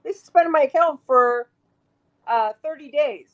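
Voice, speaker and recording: A woman with a raspy voice, talking emphatically as an interview guest, rambling and restarting her sentences. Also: sits high, measured pace, lo-fi sound. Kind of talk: casual interview talk.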